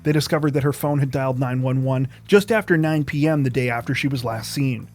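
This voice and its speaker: A man reads scripted true crime narration in a measured, serious manner. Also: mid-range voice, steady tone, a little mellow. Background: plucked guitar music.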